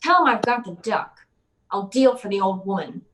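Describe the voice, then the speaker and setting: A woman's voice: mid-range voice, lively intonation, moderate pace, fairly bright tone, lightly acted, rough recording. A woman playing a tough, wisecracking, unflappable private detective in an old-time radio drama, with clipped, slangy delivery. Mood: tough, pressing.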